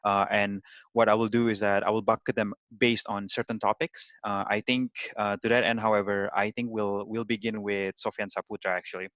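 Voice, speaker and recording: A man with a fairly low voice moderates an online panel and introduces speakers with a lot of "uh"s and repeated starts. The sound is dry and close, a little muffled, like a video call. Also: flat delivery, a little fast, a bit bright, no echo. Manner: hesitant, many "uh"s and repeated starts. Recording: dry, dull lo-fi audio.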